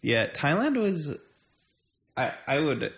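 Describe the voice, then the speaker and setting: A man's voice: a little high, very animated, slow pace, creaky. An American man in casual conversation, talking in a hesitant way as he thinks aloud. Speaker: conversation partner swapping travel stories. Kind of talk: casual chat.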